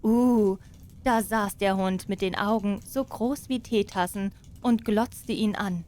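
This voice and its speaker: A woman narrating a fairy tale as an expressive audiobook storyteller. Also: medium pitch, takes it slowly.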